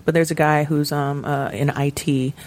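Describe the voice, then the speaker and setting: A woman's voice: low-pitched, little pitch movement, moderate pace, darkish tone. A woman co-hosting a casual panel discussion, speaking emphatically and with opinion. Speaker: show co-host.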